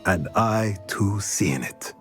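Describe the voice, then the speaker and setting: A man's voice: fairly low pitch, lively intonation, slow pace. A genial wizard shop owner in a fantasy game. He is courtly and enthusiastic, with swooping intonation over faint music. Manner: courtly, encouraging. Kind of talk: video game acting.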